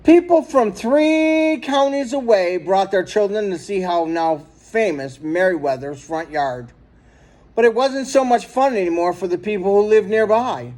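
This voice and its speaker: A man reading a children's story aloud in an expressive, dramatic storytelling manner. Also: high-pitched, measured pace. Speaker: storybook reader.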